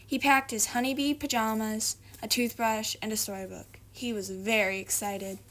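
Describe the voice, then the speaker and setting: Woman, slightly high voice, children's storybook narration, read-aloud host. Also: level tone, moderate pace, fairly bright tone, a little hiss or hum. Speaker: storybook reader, video host.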